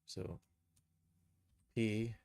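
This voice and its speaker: A man giving an informal live software tutorial, thinking aloud and muttering steps to himself as he works. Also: lowish voice, little pitch movement, very slow, gravelly, dry recording. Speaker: live streamer, game and cartoon developer.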